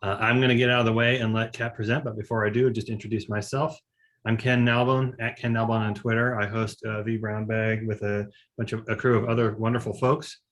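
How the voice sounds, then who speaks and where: A man with a slightly low voice hosts a tech webinar over a video call. He talks casually with plenty of uhs and you-knows as he hands over to the presenter. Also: flat delivery, a little fast. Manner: casual, filler-heavy, friendly. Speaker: host. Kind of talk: webinar host introduction.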